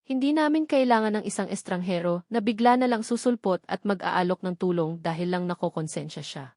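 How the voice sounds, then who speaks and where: A woman's voice: fairly low pitch, fairly quick, no room sound, studio quality, natural voice. A woman narrator playing a wounded, sarcastic young single mother with a Filipino accent in an audio romance drama. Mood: angry, defiant. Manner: cold, sharp, accusing.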